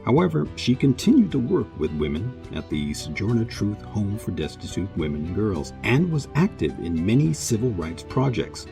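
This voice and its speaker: A man narrating a scripted documentary in a measured way, with dramatic pauses and emphasis. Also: lowish voice, sing-song, creaky. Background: music.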